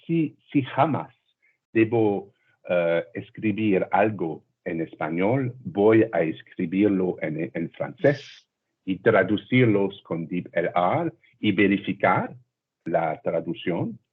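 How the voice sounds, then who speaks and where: Man, student being interviewed, halting careful Spanish, low husky voice, tinny video-call sound. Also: lively intonation. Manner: halting learner's Spanish, slow self-corrections. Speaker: language student. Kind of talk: casual interview. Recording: tinny phone-like call audio.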